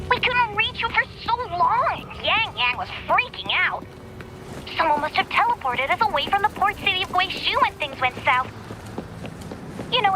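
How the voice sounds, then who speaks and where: Excitable young girl companion for a video game; extremely high, animated, chatty and friendly. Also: full character voice, poor audio. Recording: loud game music behind voice.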